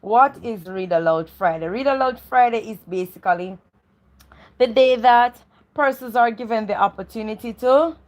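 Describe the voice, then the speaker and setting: A woman's voice: fairly high pitch, moderate pace. A woman co-hosting a read-aloud show, welcoming and chatting to viewers in a repetitive way. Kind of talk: welcoming live-stream presenting.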